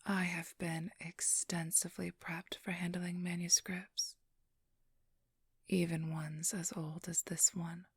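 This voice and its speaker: A woman playing a cunning, haughty supernatural thief who poses as a scholar, speaking in a soft, precise, formal whisper for an ASMR roleplay. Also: on the low side, flat delivery, slow, breathy edge, dry recording, exaggerated voice. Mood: scholarly, assured.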